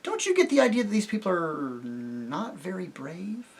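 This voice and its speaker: A reader doing a soft earnest young girl. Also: mid-range voice, huge pitch swings, normal speed, creaky, a little hiss or hum, voice pushed up. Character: sensible, brave young girl, a queen.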